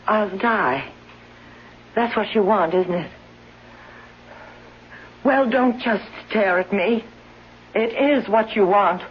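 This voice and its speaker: An actress playing a suspicious, bedridden wife, weak and breathy, accusing and pleading in a 1970s radio drama. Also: female voice, mid-range voice, takes it slowly, pauses often, slight background noise, lightly acted. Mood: bitter self-pity. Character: ailing bedridden suspicious wife, bitter and desperate. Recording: dull vintage radio broadcast recording.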